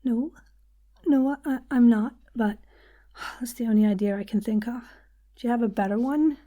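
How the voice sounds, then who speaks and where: Female partner role, played by an actress, audio drama: fairly high voice, natural, teasing, persuasive. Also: normal speed, dark tone, lightly acted. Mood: uncertain. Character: persuasive, good-humored woman coaxing her tough partner.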